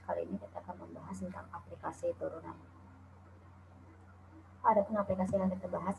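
A woman's voice: fairly low pitch, creaky, noisy background. A woman from Indonesia giving a recorded calculus lecture over slides, explaining step by step with frequent "eh" and "nah". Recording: tinny phone-like lo-fi audio.

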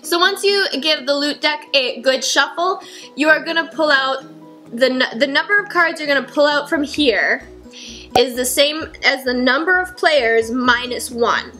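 A young woman in her twenties casually explaining board game rules at home, chatty with lots of fillers, her voice a little hoarse. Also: sits high, unhurried, bright, forceful tone, faint music behind. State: slightly hoarse. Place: home kitchen, indoors. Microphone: fixed camera at table.

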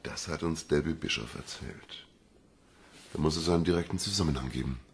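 An actor playing a gruff, self-assured detective with a gravelly voice in a crime radio drama. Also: male voice, extremely low pitch, a little fast, a little hiss or hum. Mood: explaining, insistent. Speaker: police investigator.

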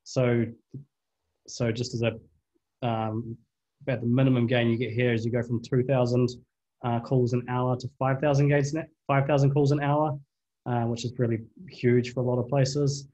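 Young male presenter, flat delivery, relaxed, muffled video call sound. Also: mid-range voice, normal speed. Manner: relaxed, some restarts. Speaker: conference presenter. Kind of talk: technical presentation. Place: indoor room. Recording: dull lo-fi video call audio.

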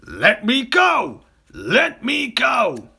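Storybook villain: male reader as a huge greedy crocodile, slow and rough-edged, plotting. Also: extremely high pitch, huge pitch swings, pushed and bright, pitched above the performer's own voice, full character voice. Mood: panicked. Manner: slow, drawn-out plotting to himself.

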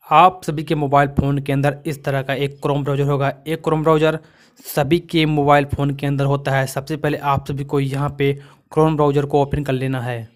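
A man from India narrating a step-by-step phone tutorial as its host, in a repetitive, instructional way. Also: medium pitch.

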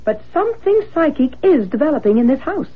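A woman's voice: high-pitched, big pitch swings, brisk. A grave older mother with firm psychic convictions. It is vintage radio drama acting. The sound is muffled and narrow. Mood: grave, insistent. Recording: muffled old radio broadcast.

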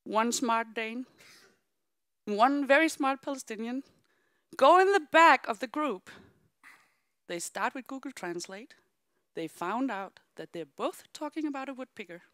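Woman keynote speaker, lively storytelling, higher voice, swinging pitch, dry close sound from a handheld mic on a big theater stage. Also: measured pace, long pauses, dry recording. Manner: animated, acts out voices in quotes. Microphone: handheld microphone.

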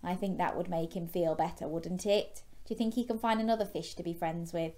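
A young woman reading a children's storybook in a gentle, expressive way, chatting with young listeners through questions. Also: lowish voice, a little fast. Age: young adult. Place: small bedroom, indoors.

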